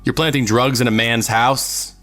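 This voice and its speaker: An actor playing a principled, suspicious police officer in an audio drama, indignant and questioning. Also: male voice, medium pitch, steady tone, normal speed, a bit bright, soft background music, natural voice. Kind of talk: naturalistic audio drama dialogue.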